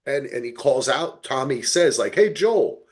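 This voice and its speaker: A man's voice: medium pitch, very animated, unhurried. A man hosting a casual podcast, explaining things in an emphatic, unfiltered way. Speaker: podcast host. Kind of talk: casual chat.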